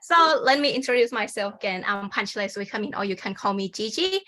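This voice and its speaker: A young Thai woman with a Thai accent presents her research at a conference over a video call. Her voice is bright and clear, and her pitch sits in the middle range. She speaks informally, leaning on "like" and "kind of.". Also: lively intonation, a little fast, slight background noise. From Thailand. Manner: informal, many fillers like "like" and "kind of". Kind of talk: academic presentation.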